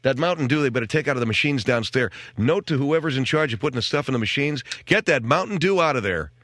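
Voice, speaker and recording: A man's voice: mid-range voice, expressive, fast, fairly bright tone, no echo, studio quality. An American man hosting casual, rambling talk radio with a wry, grumbling, sardonic manner. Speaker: radio talk show host. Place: radio studio. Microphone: close studio microphone.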